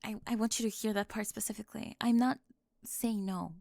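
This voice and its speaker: A woman acting an organized, high-strung young cheerleader in an intimate audio roleplay, flustered, fussy and emphatic yet affectionate. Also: fairly even intonation, a little fast, gravelly, warm tone, no room sound, clean studio sound, lightly acted. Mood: earnest, flustered.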